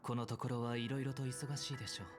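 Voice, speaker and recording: A man's voice: mid-range voice, little pitch movement, very fast, music in the background, close to an everyday voice. An actor playing a reserved, philosophical young man in an anime-style game, formal, courteous and melancholy. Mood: polite, reserved. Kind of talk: Japanese anime-game voice acting.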